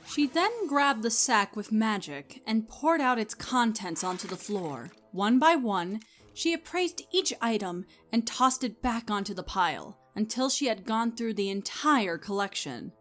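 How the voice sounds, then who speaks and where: A woman reading scripted story narration as the narrator, in an expressive storytelling manner. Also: a little high, a little slow, soft background music.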